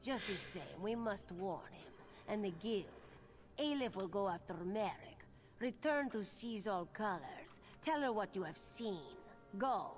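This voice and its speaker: A woman's voice: medium pitch, lively intonation, measured pace, natural voice. An actress voicing a wary, urgent female cat-folk agent in a video game, with formal, clipped speech. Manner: formal third-person speech, clipped commands. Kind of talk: video game character dialogue. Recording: game music behind the voice.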